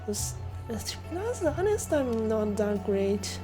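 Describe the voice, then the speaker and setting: Slow-talking woman with a Chinese accent. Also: on the high side, very animated, music in the background. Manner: rambling, many fillers and soft laughs. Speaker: game streamer. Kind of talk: casual stream chat.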